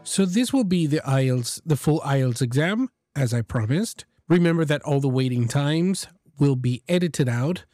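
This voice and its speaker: A man's voice: on the high side, lively intonation, a little slow, hardly any pauses, dark tone, no room sound, clean studio sound, faint music behind. A man hosting and explaining to listeners in an informal way, often repeating and rephrasing himself. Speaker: host, English exam teacher giving feedback. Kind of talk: casual explanatory podcast-style talk to listeners.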